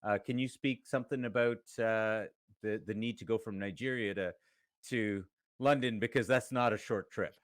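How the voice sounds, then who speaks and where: Man from Canada, low voice, dry sound, interview host, hesitant questions with fillers. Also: moderate pace, no room sound. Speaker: podcast host.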